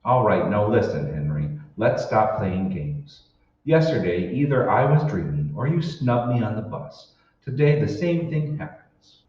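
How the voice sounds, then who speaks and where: A man's voice: lowish voice, big pitch swings, unhurried. A man playing a troubled, suspicious husband in an old-time radio drama, convinced his world is fake. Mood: wary, insistent. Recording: echoey hall, distant mic, dull, faint noise.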